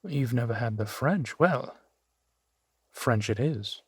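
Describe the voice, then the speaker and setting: Man playing a slow, suave centuries-old vampire gentleman. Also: mid-range voice, expressive, breathy edge, no echo, clean studio sound, exaggerated voice. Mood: amused, charming. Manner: unhurried, genteel, formal wording with pauses. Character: suave male vampire, four centuries old, genteel, playfully amused host. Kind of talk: intimate audio roleplay, spoken to the listener.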